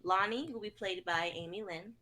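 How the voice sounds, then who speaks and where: A woman's voice: medium pitch, moderate pace, pushed and bright. A woman in her twenties reading stage directions and introducing a script as a performer on a group stream. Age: 20s. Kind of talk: scripted narration and casual chat.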